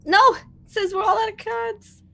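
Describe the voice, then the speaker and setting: A woman's voice: extremely high pitch, fairly even intonation, moderate pace, creaky, pushed and bright, slight background noise. A woman playing a tabletop roleplaying game, chatting casually and joking with the other players.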